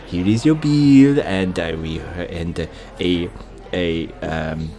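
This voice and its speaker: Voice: low voice, slow, dark tone, a little hiss or hum, pitched below the performer's own voice. A polite, flustered waiter in an improvised radio play, put on by one performer. He is apologetic and hesitant, taking his time over each phrase.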